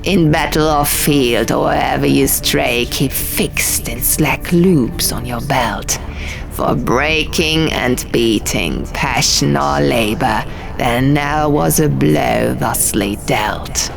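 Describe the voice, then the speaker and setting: A woman voicing a haughty, menacing male scribe god in an audiobook, with slow, pompous, riddling declamation. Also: a bass voice, gravelly, exaggerated voice. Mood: pompous, challenging. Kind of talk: audiobook character voice. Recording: music behind the voice.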